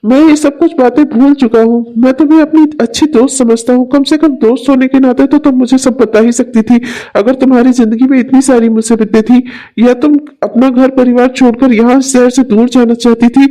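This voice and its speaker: Man doing a worried buddy, coaxing and chatty, really high soft voice. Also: low-quality recording. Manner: coaxing, concerned, talkative. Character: caring young male friend, eager to help. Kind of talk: amateur audio drama acting.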